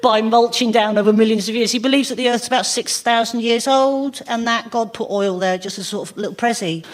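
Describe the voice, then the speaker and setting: High-pitched woman, chatty and incredulous. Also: brisk. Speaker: panellist on a radio comedy quiz. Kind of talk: comic topical commentary.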